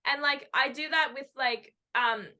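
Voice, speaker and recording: Young woman on a podcast call, eager and rambly, lotta likes and ums, bright higher voice. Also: measured pace. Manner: eager, rambling, many fillers. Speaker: podcast co-host and actor. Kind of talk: casual chat. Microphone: video call.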